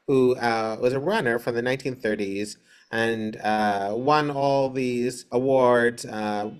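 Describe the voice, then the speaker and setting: A man speaking as an interview guest, explaining casually and haltingly, with many pauses. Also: medium pitch. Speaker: theater director, interview guest.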